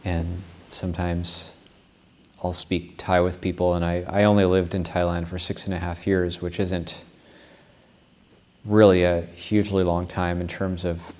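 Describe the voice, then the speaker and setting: A male Buddhist monk speaks in a very low, level voice that barely rises or falls. He gives a Dharma talk in an unhurried, reflective way, circling back and restarting his sentences as he recalls his own practice. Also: flat delivery. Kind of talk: Dharma talk with personal anecdote.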